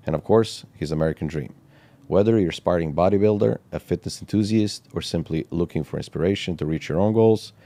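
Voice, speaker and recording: A man with a very low, dark voice hosts an interview, recorded dry and close. He reads a smooth introduction, then asks relaxed follow-up questions. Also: no room sound. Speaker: podcast host. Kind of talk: interview hosting, scripted intro.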